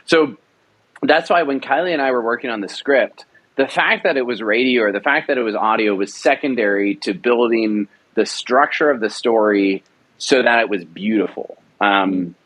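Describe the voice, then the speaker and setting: An earnest man speaking in a relaxed interview, pressing his points with emphasis. He restarts phrases and repeats words as he works out his ideas, his pitch sitting in an ordinary middle range. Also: moderate pace, low-quality recording. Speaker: audio drama producer. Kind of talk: passionate conversational interview.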